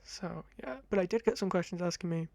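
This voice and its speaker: A young man in his twenties with a high-pitched voice, rambling casually to camera in a chatty, self-deprecating ASMR talk on a close mic. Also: fairly quick, creaky, a little mellow, no echo. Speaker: ASMR creator. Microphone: close condenser mic.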